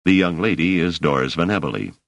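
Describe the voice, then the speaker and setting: A man doing a calm, reasonable scholar. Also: sits low, fast talker, vocal fry, no echo. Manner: calm, persuasive.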